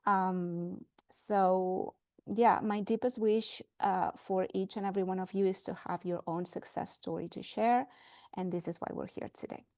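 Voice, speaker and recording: A woman's voice: mid-range voice, steady tone, measured pace, mellow, no room sound, studio quality. A woman with a Spanish accent presenting a webinar as a fertility coach, earnest and emphatic, repeating words for stress. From Spain.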